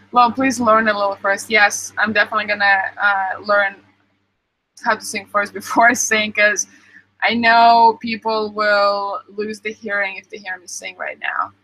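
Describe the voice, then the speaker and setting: A woman's voice: medium pitch, unhurried, bright, forceful tone, low-quality recording. A young woman casually reading and answering viewer comments on a live stream, with lots of ums. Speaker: vlogger reading live chat.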